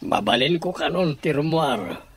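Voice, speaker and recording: A man's voice: on the high side, sing-song, a little slow, soft background music. A man acting a troubled, suspicious husband in a radio drama, brooding and accusing.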